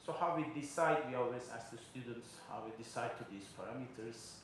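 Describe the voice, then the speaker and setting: A man's voice: medium pitch, brisk, slight background noise. A middle-aged man giving a keynote lecture at a podium microphone, explaining step by step with frequent fillers. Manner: explanatory, frequent uh fillers, non-native phrasing. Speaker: keynote speaker. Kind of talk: conference lecture. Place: large conference hall, indoors. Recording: very echoey hall with faint ambience.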